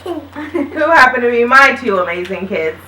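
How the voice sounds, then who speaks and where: A woman's voice: a little high, lively intonation, moderate pace, sharp tone, slight background noise. A woman in her thirties hosting a casual family chat show in an upbeat, chatty way. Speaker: host. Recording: roomy sound from a distant mic.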